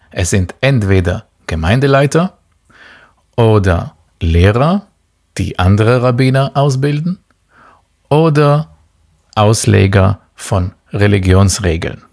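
Slow, gravelly man from Israel. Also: lowish voice, darkish tone. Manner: slow, patient explaining. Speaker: interview guest, tour guide. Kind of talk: explaining to children in an interview.

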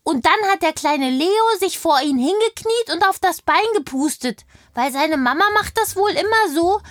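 A woman's voice: very high-pitched, animated, moderate pace, no echo, studio quality. A woman voicing a chatty, indignant little kindergarten girl in a children's audio play, telling things with childlike eagerness. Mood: eager.

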